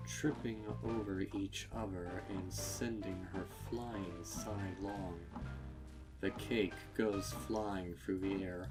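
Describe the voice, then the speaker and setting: A man reading a scripted dark story as narrator, in a measured, deliberate and suspenseful way. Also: sits low. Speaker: narrator of a horror fan fiction reading.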